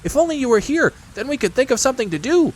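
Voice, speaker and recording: A little piglet from a children's storybook. The voice sits high and small, with an anxious, meek edge. He sounds earnest and a bit hesitant. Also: very animated, fast, heavily acted.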